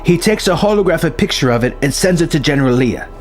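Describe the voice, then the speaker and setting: A man telling a film story as a narrator, with a dramatic delivery and pauses for suspense. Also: on the high side, expressive. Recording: music bed under the voice. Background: music.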